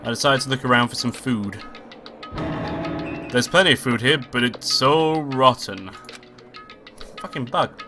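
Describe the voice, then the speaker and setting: Brief: male let's-play host, nasal from a cold, loud game music behind, offhand and profane between lines. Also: medium pitch, a little slow, long pauses. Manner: swearing, offhand asides between read lines. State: sick and nasal. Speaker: let's play host reading a visual novel aloud. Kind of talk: casual let's play commentary and read-aloud.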